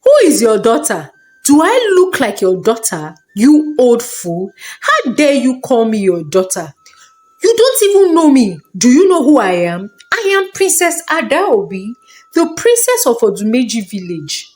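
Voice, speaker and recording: A woman's voice: a little high, huge pitch swings, unhurried, darkish tone. A woman voicing a haughty, cruel, hot-tempered young princess in a folktale, imperious and scornful as she scolds. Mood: outraged.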